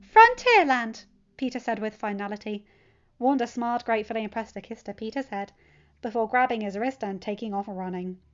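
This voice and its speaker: A woman's voice: mid-range voice, steady tone, a little fast, darkish tone, lo-fi sound. A woman reading scripted story narration as the narrator of a fan fiction audiobook.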